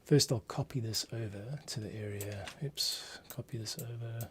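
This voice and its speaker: A man with a fairly low voice hosts a casual screencast. He thinks aloud, muttering and pausing as he works. There is faint noise behind him, along with typing and clicking. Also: a bit bright. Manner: muttering to himself, pausing while working. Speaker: composer, streamer host. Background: typing, mouse clicks.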